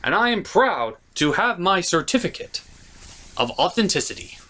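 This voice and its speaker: Male review host, theatrical and emphatic, very animated pitch, scripted commentary with skits. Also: normal speed.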